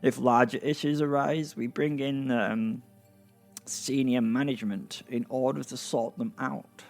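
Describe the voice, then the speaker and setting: A man's voice: medium pitch, steady tone, unhurried, gravelly. A man playing a nervous, self-important gate attendant of heaven in a comic fantasy audio drama, with a hesitant, stammering delivery. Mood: officious, fussy. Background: music.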